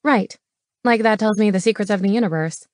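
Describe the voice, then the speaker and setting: A narrator playing a feisty woman in an audiobook, sharp-tongued and impatient. Her lines come out snappy and sarcastic, in a dry, close recording. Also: a little high, brisk, no echo, close to an everyday voice. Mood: sarcastic, bored. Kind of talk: audiobook character dialogue.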